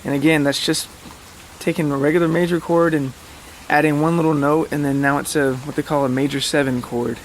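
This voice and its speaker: Male podcast host, slightly higher voice, casual and rambling, self-deprecating, with faint background noise. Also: level tone, fairly quick, low-quality recording. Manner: informal, hesitant, self-deprecating. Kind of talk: casual, rambling monologue to listeners.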